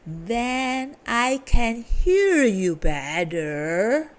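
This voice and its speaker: A woman voicing a sly, hungry male wolf in a storybook reading, drawn-out and slow. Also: a little high, big pitch swings, full character voice.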